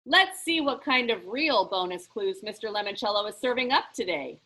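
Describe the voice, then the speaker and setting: A woman voicing a smug, snobbish, competitive boy in a storybook, with a haughty, deliberate delivery. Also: medium pitch, lively intonation, sharp tone. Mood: scornful.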